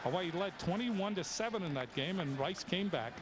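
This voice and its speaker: A man's voice: high voice, moderate pace. A man calling live college football play-by-play, reeling off player names and statistics. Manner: steady, reels off names and statistics. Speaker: play-by-play announcer. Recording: noisy old TV broadcast audio.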